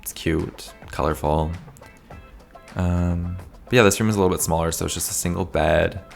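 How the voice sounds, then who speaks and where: A young man from Canada, slightly hoarse from losing his voice, giving a chatty, rambling voice-over commentary with lots of "like". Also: low-pitched, steady tone, moderate pace, soft background music. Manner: chatty, rambling, says "like" often. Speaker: video game builder, channel host.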